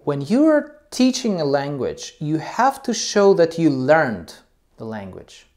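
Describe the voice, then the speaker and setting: A man's voice: on the high side, very animated, slow pace, darkish tone. A man who teaches English, a non-native speaker, talking loosely about teaching with many ums and uhs. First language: not English. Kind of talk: casual talk to camera about teaching.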